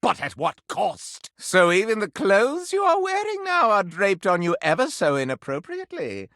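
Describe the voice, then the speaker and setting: A flamboyant living candelabra from a video game, forever scandalized and hungry for gossip. The pitch leaps wildly from low to high, and the tone is bright and forceful. The delivery is pompous, florid and hugely theatrical, recorded very dry and close. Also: male voice, huge pitch swings, normal speed, no room sound, exaggerated voice. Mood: scandalized, disapproving. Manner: pompous, melodramatic, florid archaic diction.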